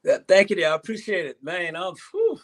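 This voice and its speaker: A man's voice: sits high, wildly swooping pitch, fairly quick. A man in his sixties joining a live-stream panel as a guest, easygoing, loose and chatty. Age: 60s.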